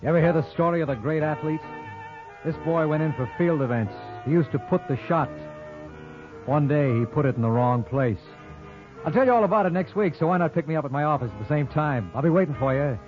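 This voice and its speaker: A brisk, wisecracking lawyer-sleuth from an old radio play, acted by a man. He talks fast in a glib, teasing, slangy way at a middling pitch. The vintage broadcast sound is dull, with music in places. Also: animated, close to an everyday voice. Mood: wry. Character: wisecracking, cocky male lawyer turned amateur sleuth. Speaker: lead actor in a radio detective drama. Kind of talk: 1950s radio drama acting.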